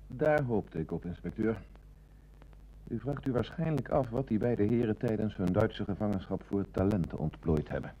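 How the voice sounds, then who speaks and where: A man playing a calm, polite, observant police detective in a Dutch radio play. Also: lowish voice, normal speed, low-quality recording. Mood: matter-of-fact.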